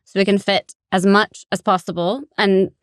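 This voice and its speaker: A woman appearing as a podcast guest, talking casually and excitedly, laughing often. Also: mid-range voice, no echo, studio quality. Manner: bubbly, quick to laugh. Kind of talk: casual conversation.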